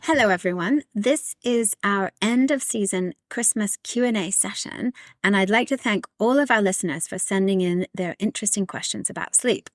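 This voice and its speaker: A woman neuroscientist hosting a sleep science podcast, presenting clearly from a script. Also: medium pitch, no room sound.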